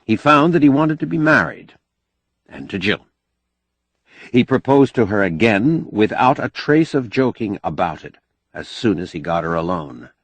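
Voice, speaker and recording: A man narrating fiction as an audiobook, in an expressive, measured storytelling manner. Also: lowish voice, no room sound.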